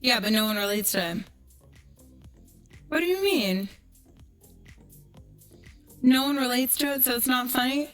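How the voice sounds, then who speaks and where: A woman's voice: high-pitched, a little slow, pauses often, fairly bright tone, soft background music. A woman streamer chatting casually and ranting in a brash, profane, sarcastic way. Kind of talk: casual livestream chat with ranting storytelling.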